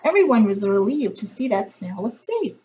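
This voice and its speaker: A woman reading a picture book aloud as a teacher would, in a deliberate, expressive storytelling style. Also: a little high.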